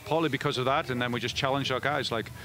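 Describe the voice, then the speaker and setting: Middle-aged male coach, post-game, rapid delivery, headset mic, mid-range voice. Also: medium pitch, steady tone, bright, forceful tone. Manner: fast, with frequent restarts and fillers. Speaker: head soccer coach. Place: indoor media room before a backdrop.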